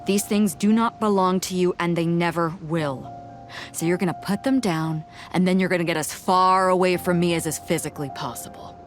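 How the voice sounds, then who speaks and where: A woman's voice: fairly low pitch, fairly even intonation, normal speed, faint music behind, close to an everyday voice. An actress voicing a bold, confident female sea captain in a video game, blunt and commanding. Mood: stern, defiant.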